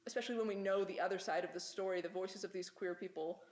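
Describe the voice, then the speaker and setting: A woman's voice: fairly low pitch, steady tone, fast. A woman talking casually and reflectively as a panelist in a group discussion. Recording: echoey room sound.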